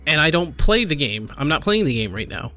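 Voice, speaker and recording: A man giving casual, unscripted gaming commentary and reading aloud, in a halting, apologetic manner. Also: fairly high pitch, very animated, bright, forceful tone, soft background music. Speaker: gaming video creator.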